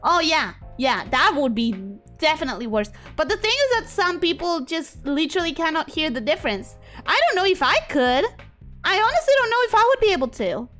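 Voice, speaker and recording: A young woman streamer talking from a home setup. Her voice is bright and crisp, and it swings all over the range, from squeaky highs down to grumbling lows. Soft music plays quietly under her talk. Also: brisk. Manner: expressive, swings between high squeals and low mutters. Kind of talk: casual chat reading viewer messages.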